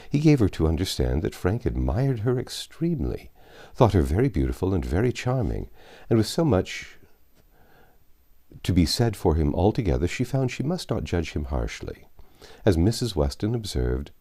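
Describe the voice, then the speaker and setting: A man narrating a classic novel aloud as an audiobook reader, with measured, expressive literary phrasing. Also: low voice.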